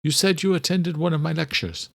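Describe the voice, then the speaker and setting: Man voicing an elderly scholarly doctor, raised gravelly pitch. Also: fairly bright tone, no room sound, clean studio sound. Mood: earnest, regretful. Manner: formal, deliberate, old-fashioned phrasing. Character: elderly scholarly doctor, courteous, direct, troubled. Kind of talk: audiobook character dialogue.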